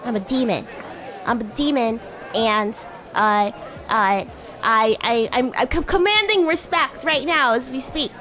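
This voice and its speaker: A woman's voice: on the high side, a little slow, a bit bright, some chatter behind. A woman voicing a bratty, flustered young female demon classmate in improvised livestream roleplay, teasing and stammering as she acts haughty and defensive. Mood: smug, flustered. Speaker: VTuber streamer.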